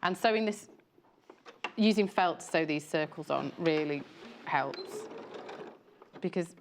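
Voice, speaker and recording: A woman's voice: low voice, measured pace, a little hiss or hum. A young woman demonstrating sewing on a live craft show in a TV studio, explaining her steps in an easygoing way. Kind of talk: live sewing demo chat.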